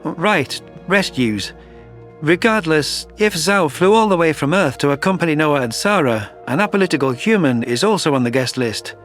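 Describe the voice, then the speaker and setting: A man voicing a calm, pragmatic male alien military advisor in a science fiction audio drama. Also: a little high, normal speed, faint music behind, voice pushed down. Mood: pragmatic, reassuring. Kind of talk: audio drama narration dialogue.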